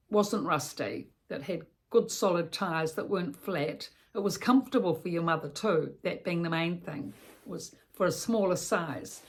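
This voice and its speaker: Low-pitched New Zealand woman explaining informally. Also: a little mellow. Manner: conversational, rambling, repeats and self-corrects. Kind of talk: informal instructional storytelling addressed to the listener.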